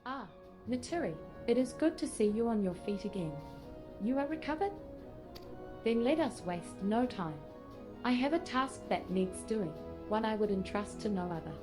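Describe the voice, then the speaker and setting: A woman's voice: fairly high pitch, expressive, moderate pace, lightly acted. A woman voicing a resolute female admiral, formal and businesslike, in a scripted video game scene. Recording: music behind.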